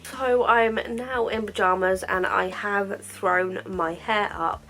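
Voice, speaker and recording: A woman's voice: mid-range voice, a bit bright, low-quality recording. A woman with a stuffy, bunged-up nose, talking candidly at home in a rambling, casual diary vlog. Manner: rambling, filler-heavy, candid. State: stuffy-nosed with a cold. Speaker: vlogger.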